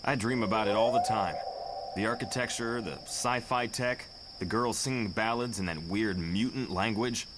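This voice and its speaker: A man as a low-voiced, chatty young hero. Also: normal speed, heavy background noise, natural voice. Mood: musing. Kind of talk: motion comic character acting.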